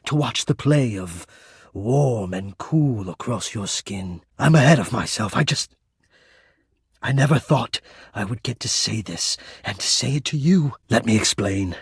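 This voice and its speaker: An actor playing a shy, devoted, lovestruck male air-vent spirit in a romance video game, with breathy, formal, florid and earnest delivery. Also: lowish voice, expressive, measured pace, no echo, clean studio sound, full character voice. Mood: earnest, hesitant.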